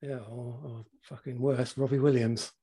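Husky, croaky man in his forties. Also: mid-range voice, little pitch movement, moderate pace, dark tone. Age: 40s. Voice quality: slightly croaky. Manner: chatty, laughs often, swears casually. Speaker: podcast co-host. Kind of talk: casual chat.

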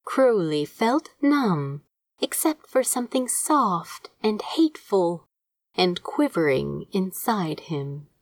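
A woman reading a story aloud as an audiobook narrator, measured and expressive in her scripted delivery. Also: medium pitch, dry recording, clean studio sound. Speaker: fan fiction audiobook narrator.

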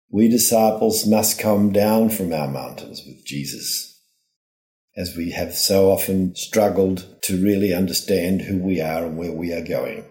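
A man delivering a scripted gospel reflection in a measured, earnest preaching manner. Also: low voice, darkish tone. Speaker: religious speaker giving a gospel reflection. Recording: roomy, echoing voice recording.

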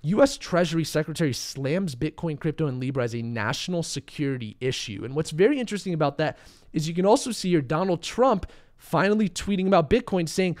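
Slightly hoarse, higher-voiced young man. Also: expressive, brisk, darkish tone. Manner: talks viewers through charts, confident and direct. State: a bit hoarse, under the weather. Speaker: crypto market commentator. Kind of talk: casual chart analysis.

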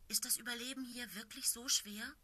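A woman's voice: fairly high pitch, steady tone, normal speed, pushed and bright, slightly rough, pitched above the performer's own voice, exaggerated voice. A woman voicing a curious, earnest young male house cat.

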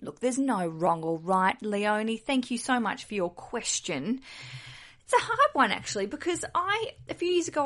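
Chatty Australian woman podcast host. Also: mid-range voice. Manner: chatty, jokey and candid, with quoted asides. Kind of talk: solo podcast chat.

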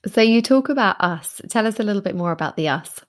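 Woman host, mid pitch, friendly teasing interviewer. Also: expressive, quick pace. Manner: friendly, teasing, encouraging questions. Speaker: podcast host. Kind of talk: interview hosting.

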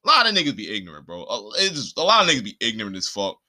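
An American man in a casual, opinionated podcast rant, speaking in an animated, indignant way with plenty of profanity and slang. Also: lowish voice, rapid-fire. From Puerto Rico and the eastern United States. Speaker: podcast host.

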